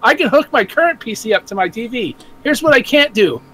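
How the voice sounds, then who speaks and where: A man's voice: very high voice, a little fast, slight background noise, rough recording. A man with a slight American Southern accent, talking in a casual podcast chat, swearing freely and laughing often. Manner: profane, laughing, animated. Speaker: podcast co-host.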